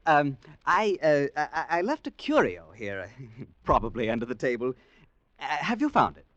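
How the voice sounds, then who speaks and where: A man's voice: fairly high pitch, wildly swooping pitch, normal speed, a bit bright, no echo, lo-fi sound. An actor with an American accent playing a hapless, polite tourist who narrates his misadventure in a 1940s radio drama. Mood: flustered, polite. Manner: hesitant, stammering in dialogue, dramatic when narrating. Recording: noisy vintage 1940s radio broadcast.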